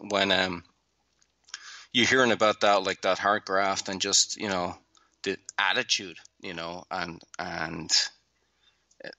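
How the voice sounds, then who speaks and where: A low-voiced Irish man with a sharply bright, forceful tone, chatting as a podcast guest. He talks loosely and casually, and the sound is close and dry. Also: dry recording. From Ireland. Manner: relaxed, chatty, loose swearing.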